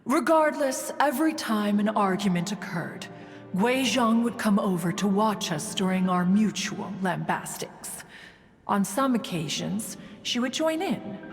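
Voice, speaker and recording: A woman's voice: mid-range voice, lively intonation, a little slow. A woman playing a proud, stately immortal adeptus in a video game, speaking in formal archaic phrasing and calling herself "one". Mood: haughty, indignant. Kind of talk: scripted game dialogue, storytelling. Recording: reverberant hall sound, music behind.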